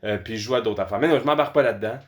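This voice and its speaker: A man's voice: lowish voice, racing. Quebecois guy hosting a gaming podcast, super informal slangy chat. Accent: Quebec French. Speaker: podcast host.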